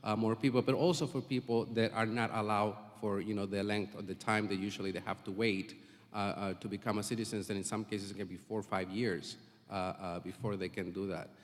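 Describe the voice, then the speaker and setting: A man's voice: fairly low pitch, fairly even intonation, fairly quick. A man testifying at a public hearing, earnest and full of filler sounds. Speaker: community advocate testifying. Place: public hearing in a school building. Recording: long reverb of a hearing hall.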